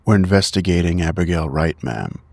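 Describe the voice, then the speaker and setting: A man acts out a gruff male investigator for a tabletop game. The voice sits very low with a heavy fry, close and dry, terse and quietly menacing. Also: animated, a little fast, dry recording, heavily acted. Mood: grim, ready.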